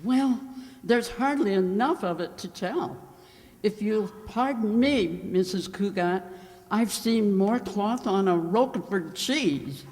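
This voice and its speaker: A woman's voice: medium pitch, takes it slowly, darkish tone, close to an everyday voice. A woman playing a blunt, wisecracking household maid, deferential yet drily teasing, in an old-time radio sitcom. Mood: dry, teasing. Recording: echoey hall, long reverb.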